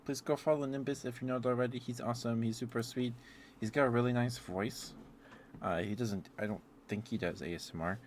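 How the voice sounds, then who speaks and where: A man's voice: medium pitch, steady tone, fairly quick, slight background noise. A man chatting in a meandering, casual way as he hosts an ASMR livestream. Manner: meandering, often chuckling to himself. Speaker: ASMR streamer, host. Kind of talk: rambling ASMR livestream chat.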